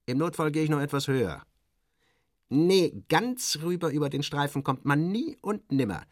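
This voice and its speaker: A man's voice: on the high side, lively intonation, normal speed, no echo, clean studio sound, voice pushed up, natural voice. A man voicing a confident, grumbling military major who talks in a colloquial, matter-of-fact mutter, in a literary radio drama.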